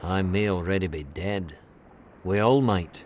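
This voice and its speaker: Deep, deadpan, grim fugitive. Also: male voice, unhurried, slight background noise. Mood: grim, defiant. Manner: deadpan, terse. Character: grim fatalistic male fugitive, calm and defiant. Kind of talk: video game voice acting.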